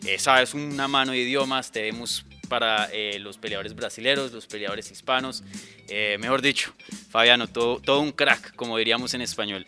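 A man hosting a casual interview, upbeat and full of quick asides. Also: mid-range voice, fairly even intonation, pushed and bright, soft background music.

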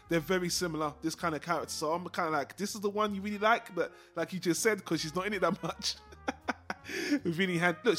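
A man's voice: sits high, fairly bright tone. A man co-hosting a film discussion show, giving blunt opinions in a casual, sweary style.

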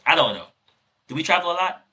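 A man's voice: on the high side, lively intonation, fast, sharp tone. A man co-hosting a podcast, chatting casually and teasing his guest with jokes. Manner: joking, teasing, playful. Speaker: podcast co-host.

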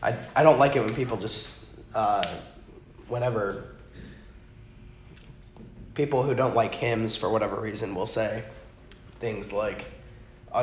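A man with a hoarse, worn-out voice, teaching an informal church class in a soft, rambling way that corrects itself often. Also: on the low side. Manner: rambling, self-correcting, talks softly. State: hoarse, lost his voice. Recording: muffled, echoey room with faint noise.

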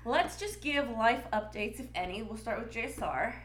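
A congested woman co-hosting a podcast in casual chat, blunt and quick to swear and laugh. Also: fairly high pitch, lively intonation, a bit bright. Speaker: podcast co-host.

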